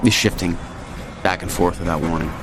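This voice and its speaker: An actor playing a gruff, driven fugitive hero in a video game cutscene. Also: male voice, deep voice, fairly even intonation, brisk, noisy background, close to an everyday voice. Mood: grim, reflective. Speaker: game protagonist narrating his past.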